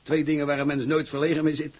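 An actor playing a hearty, chatty, blunt old ship's engineer in a Dutch radio drama. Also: male voice, on the high side, monotone, quick pace, a little mellow, slightly rough.

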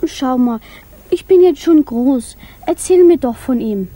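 An actress playing an affectionate, pleading teenage niece in a 1960s radio play, tender and coaxing. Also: female voice, high voice, expressive, a little slow, dark tone.

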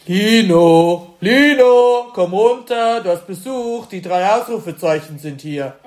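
A woman playing an indignant, defensive parent in an amateur school radio play, speaking emphatically and a little haltingly. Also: mid-range voice, animated, a little mellow. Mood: calling out.

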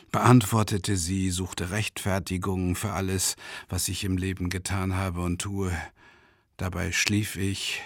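An actor playing a tormented, self-doubting man who narrates a radio drama monologue in a brooding, intense way, with a gravelly, husky voice. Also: low-pitched, no echo, clean studio sound, exaggerated voice. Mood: brooding, tense. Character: tormented, self-doubting, impoverished male travelling lecturer. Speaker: first-person narrator.

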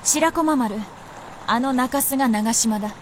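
A woman's voice: on the high side, measured pace, slight background noise, close to an everyday voice. A woman playing an aloof, proud immortal princess in a Japanese period radio drama, with archaic, composed, lordly speech. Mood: composed, instructing.

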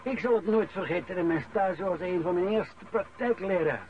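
A man plays a wry, grumbling middle-aged Flemish director in a radio play. His voice runs a bit high and he talks bluntly. The sound is narrow and thin, with some noise. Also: moderate pace, natural voice. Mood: wry, unworried. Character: grumbling, easygoing older director five years from pension. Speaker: actor. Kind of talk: radio drama dialogue. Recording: thin, band-limited, noisy radio recording.

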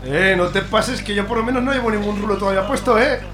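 A woman's voice: medium pitch, fast talker, lightly acted. Woman acting a grumbling bar keeper over music. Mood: bantering. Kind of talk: radio play acting.

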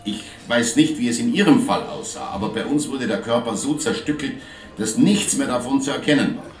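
An actor in a radio crime drama playing a courteous, talkative Viennese police inspector with a formal, measured manner. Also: male voice, mid-range voice, close to an everyday voice. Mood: grave, explanatory. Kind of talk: German radio play acting. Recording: roomy, distant video link sound, music.

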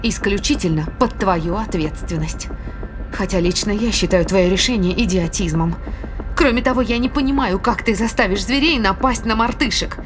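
A woman's voice: medium pitch, normal speed, over music, close to an everyday voice. An actress playing a cautious, sceptical woman in an audio drama, protesting with reproach and sarcasm. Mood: reproachful. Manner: reproachful, sardonic. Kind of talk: dramatic audio play acting.

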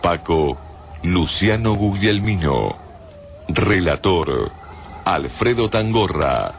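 Very deep, gravelly male announcer. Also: lively intonation, slow, slight background noise. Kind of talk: scripted radio drama credits narration. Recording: voice over background music. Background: music.

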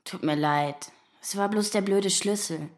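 A woman's voice: medium pitch, unhurried, lightly acted. An actress playing a defiant, provocative young outsider woman in a naturalistic radio drama. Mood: tired, cheeky.

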